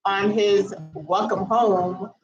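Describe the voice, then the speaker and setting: A woman's voice: mid-range voice, fairly even intonation, takes it slowly, dull audio, music in the background. A woman of about thirty, telling a personal story casually in a chatty, slangy way full of asides. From US Virgin Islands. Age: around 30. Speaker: storytelling vlogger.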